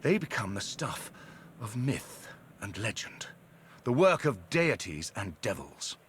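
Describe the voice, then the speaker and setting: An actor playing a learned archaeologist with a theatrical, musing manner in video game dialogue. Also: male voice, on the low side, sing-song, slow, a little hiss or hum.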